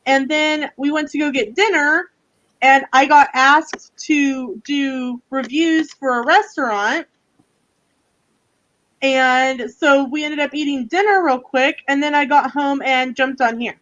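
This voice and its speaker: A woman's voice: high voice, takes it slowly, fairly bright tone, no echo. A woman with a Southern US accent chatting casually and teasingly as co-host of a livestream, in a folksy way. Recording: dull, lo-fi livestream audio.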